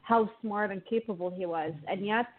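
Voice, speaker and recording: A woman's voice: mid-range voice, moderate pace. A woman from Lebanon, a guest financial therapist, explaining her views in a thoughtful way with frequent restarts. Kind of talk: casual interview talk. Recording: tinny phone-like line.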